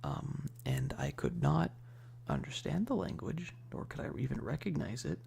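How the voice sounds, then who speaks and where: Gravelly, creaky-voiced man chatting softly. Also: mid-range voice, huge pitch swings, normal speed, in a whisper. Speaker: streamer. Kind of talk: casual ASMR livestream chat.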